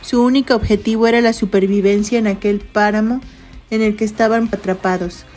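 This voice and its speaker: A woman narrates a literary science fiction tale from a prepared script. Her voice sits in a middle register with a mellow, unsharp tone. Soft music plays quietly behind her. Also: mid-range voice, fairly even intonation, a little fast.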